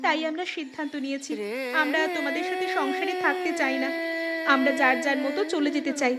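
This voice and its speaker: A woman's voice: sits high, brisk, over loud music, natural voice. A woman voicing a poor, aggrieved thief's wife, plaintive and emphatic, in a cartoon drama. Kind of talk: Bengali cartoon voice acting.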